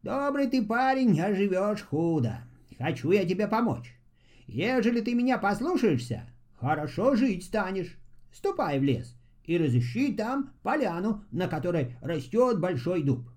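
A man voicing a kindly grey-haired old man in a fairy tale, gentle, folksy and instructive. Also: sits high, very animated, unhurried. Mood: earnest instructing. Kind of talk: storybook fairy-tale voice acting.

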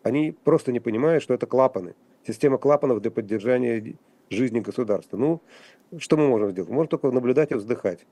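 A man speaking as a guest commentator on a radio talk show, halting and wry, with frequent restarts and fillers. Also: mid-range voice, dark tone, no room sound. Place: radio studio.

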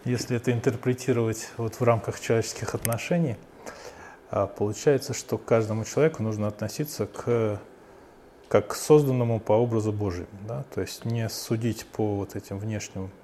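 A man's voice: on the low side, steady tone, brisk, warm tone, slight background noise. A male interviewer asking thoughtful questions and checking his understanding.

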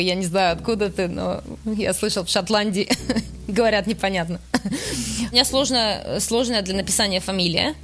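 Woman, from Russia, interview guest, medium pitch, thoughtful and word-searching, music behind. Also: a bit bright. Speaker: interview guest, museum worker. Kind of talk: conversational interview answers.

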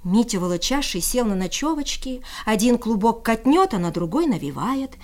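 A woman narrates a folk tale as an expressive storyteller. Her voice sits high and moves widely in pitch, with folksy, theatrical phrasing. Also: moderate pace.

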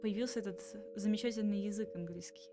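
A woman's voice: mid-range voice, fast talker, over music. A woman hosting a podcast, asking questions and sharing anecdotes in an easy conversational way. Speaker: podcast host. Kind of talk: casual interview chat.

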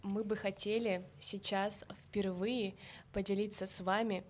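A young woman co-hosts a podcast, calmly introducing the next segment at an ordinary pitch. Faint background noise sits under her voice. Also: unhurried. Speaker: podcast co-host. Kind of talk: casual chat.

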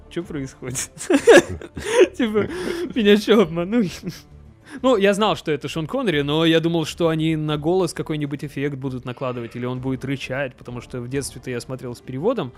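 Chatty young man, fairly high voice. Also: faint music behind. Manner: chatty, quick restarts, frequent fillers. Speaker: podcast co-host. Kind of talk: casual chat.

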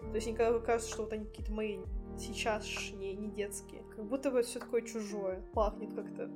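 A woman's voice: mid-range voice, steady tone, brisk, music in the background. A woman in her twenties from Russia, co-hosting a podcast and telling personal stories in a casual, reflective way. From Saint Petersburg, Russia. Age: 20s. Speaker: podcast co-host.

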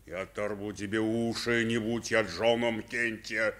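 A man playing a gruff, threatening, brutal father in a theatrical radio drama. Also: lowish voice, little pitch movement, a little slow, a crowd in the background, close to an everyday voice. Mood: menacing. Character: gruff, bullying brutal father of a poor boy.

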